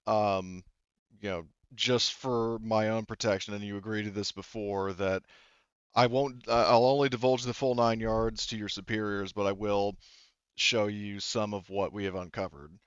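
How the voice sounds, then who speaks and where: A man voicing an uneasy, guarded female corporate defector in a tabletop game, speaking haltingly and quietly. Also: on the low side, fairly even intonation, smooth, no room sound, highs cut off, lightly acted. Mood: cautious. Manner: hesitant, subdued, halting.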